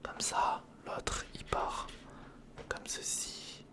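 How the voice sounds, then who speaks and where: A man giving whispered ASMR commentary on a hands-on build, murmuring to himself as he thinks and chatting informally to the viewer. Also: extremely slow. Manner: murmurs to himself while thinking through steps; informal, chummy address to the viewer. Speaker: ASMR video host.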